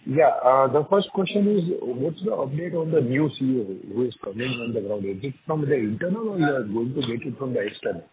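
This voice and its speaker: A man's voice: mid-range voice, expressive, brisk, hardly any pauses. A man with an Indian accent calling in as an investor, putting questions in a blunt, insistent way. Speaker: individual investor caller. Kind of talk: spontaneous questioning on a call. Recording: tinny phone line with faint background noise.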